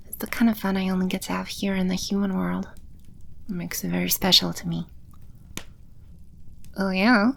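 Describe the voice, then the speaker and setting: A woman acts out a proud, vengeful demoness in an intimate audio roleplay. Her voice sits fairly low for a woman, with a gravelly fry and breathy edges. She speaks without any hurry, looking down on humans with dry, sardonic scorn. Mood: savoring, menacing. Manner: condescending, sardonic, unhurried. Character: haughty, scornful female demon thawed from ice, vengeful.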